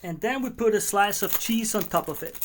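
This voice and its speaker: A man's voice: high-pitched, fairly quick, a little hiss or hum. A man with an Austrian German accent, chatting casually on a cooking livestream, rambling and repeating words as he talks to himself. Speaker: livestreaming home cook.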